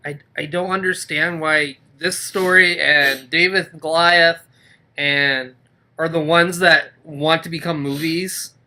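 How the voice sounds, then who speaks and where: A man with a bright, punchy voice co-hosts a casual film chat. His delivery is jokey and giggly, in loose conversational banter. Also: a little high. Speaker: podcast co-host. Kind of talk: casual movie-review banter.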